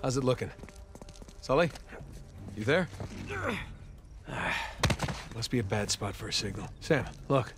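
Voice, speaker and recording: A man's voice: mid-range voice, lively intonation, measured pace, pauses often, very noisy, natural voice. An actor playing a wry, capable treasure hunter in his thirties in a video game, with casual, naturalistic delivery. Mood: focused. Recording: music behind the voice.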